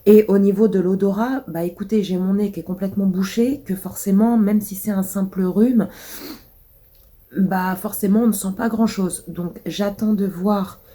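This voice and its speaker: A woman's voice: medium pitch, steady tone, moderate pace, dark tone. A woman vlogging casually while sick, with a blocked nose and a cough, rambling through many hesitations. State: congested, stuffy nose. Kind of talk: casual vlog chat.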